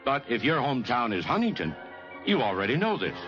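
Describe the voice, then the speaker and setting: A man's voice: medium pitch, sing-song, brisk. A man delivering polished, scripted narration in the style of old radio. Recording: dull old radio recording. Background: music.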